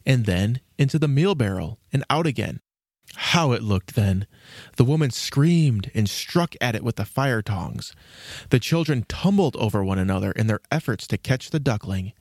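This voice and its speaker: A man with a mid-pitched voice reads a scripted fairy tale. He tells it expressively, in an old-fashioned storyteller's way. The recording is very dry. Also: darkish tone, no echo. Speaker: narrator.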